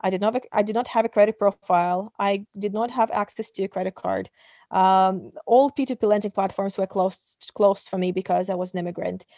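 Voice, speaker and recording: A woman's voice: medium pitch, steady tone, brisk, dark tone, no room sound. Woman from Kazakhstan, dry close sound. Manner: explanatory, many ums and uhs. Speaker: startup CEO guest. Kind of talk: interview answers.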